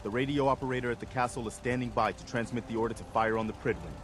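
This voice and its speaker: A man's voice: medium pitch, fairly even intonation, quick pace, noisy background, close to an everyday voice. An actor playing an earnest, loyal militia officer who briefs his commander directly in a game. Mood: resolute.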